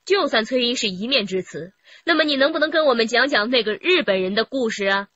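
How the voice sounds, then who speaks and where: A woman's voice: on the high side, expressive, brisk, bright, forceful tone, highs cut off. A woman playing a composed, authoritative police detective with a probing manner in a radio crime drama.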